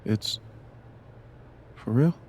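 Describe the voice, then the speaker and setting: A man playing a gentle, hesitant young man in a video game. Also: drawn-out words, a little hiss or hum. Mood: moved. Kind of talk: video game dialogue acting.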